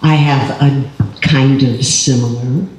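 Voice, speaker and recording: Older retired singer, boomy voice, slow wry delivery; heavy room echo. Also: male voice, steady tone, darkish tone. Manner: wry, self-deprecating. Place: public panel event room. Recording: strongly echoing hall.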